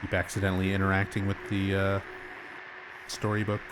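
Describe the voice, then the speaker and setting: Low-voiced man with a sore throat. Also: brisk, noisy background. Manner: explanatory, chatty, gets animated when amused. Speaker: streamer. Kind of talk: casual game commentary.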